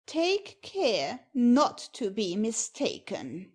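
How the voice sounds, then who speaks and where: Woman playing a high-voiced haughty noble mother-in-law. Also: wildly swooping pitch, husky, exaggerated voice. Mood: affronted. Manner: affronted, grandly deliberate. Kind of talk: classic comedy audio play acting.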